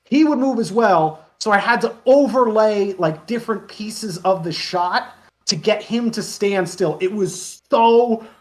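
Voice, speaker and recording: A man with a slightly high voice talks as a guest in a casual interview. He tells stories about his own work in an animated, self-deprecating manner. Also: measured pace. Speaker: interview guest, web parody creator. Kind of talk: casual interview chat, anecdotes.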